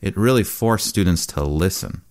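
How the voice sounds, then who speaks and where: Joking low-voiced man co-host. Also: animated, moderate pace, a little mellow. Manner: joking, playful. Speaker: podcast co-host. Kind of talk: casual chat. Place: home basement.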